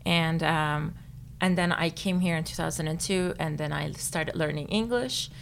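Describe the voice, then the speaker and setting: A woman's voice: low voice, normal speed, gravelly, a little hiss or hum. A young woman from Turkey, whose first language is Turkish, answering interview questions in a thoughtful way with many fillers and small laughs. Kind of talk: casual interview.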